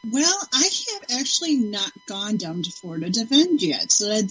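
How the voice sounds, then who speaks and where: A woman's voice: on the high side, sing-song, normal speed, fairly bright tone, slightly rough, no echo, dull audio, faint music behind. An American woman from Michigan, speaking as a guest panelist and author in casual chat. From Michigan, United States.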